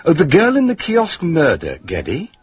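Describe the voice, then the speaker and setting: Posh english gentleman sleuth, light and musing, really swoopy pitch, radio play, muffled. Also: wildly swooping pitch, normal speed, slight background noise. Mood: curious, polite. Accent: English upper-class. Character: mild, urbane gentleman detective. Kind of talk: British detective radio drama acting. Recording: dull, lo-fi radio recording.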